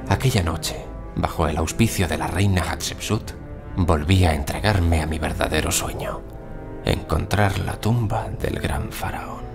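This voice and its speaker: A male actor playing a self-assured, courteous gentleman archaeologist in an audio drama, formal and precise. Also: low-pitched. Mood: reflective. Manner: formal, polite, unruffled, precise. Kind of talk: audio drama acting and first-person narration. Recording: music behind the voice.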